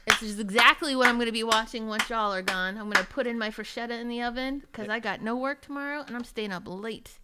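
A woman's voice: a little high, fast, few pauses, heavy background noise. A woman hosting a podcast in casual, joking conversation. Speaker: podcast host.

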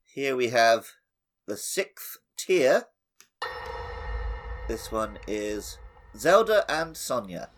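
A man's voice: mid-range voice, very animated, extremely slow, pauses often, a little hiss or hum. A man chatting casually as a podcast host.

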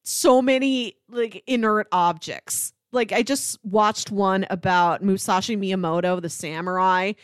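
A woman speaks as a podcast co-host in casual, conversational film talk. Her voice sits at an average pitch and is recorded dry, with no room sound. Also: unhurried, hardly any pauses, clear, resonant tone, no room sound. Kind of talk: casual movie review chat.

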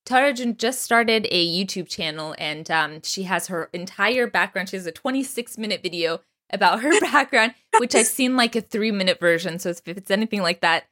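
A woman's voice: mid-range voice, fairly bright tone, no echo. Woman podcast host, close dry sound. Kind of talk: scripted introduction, then casual interview chat.

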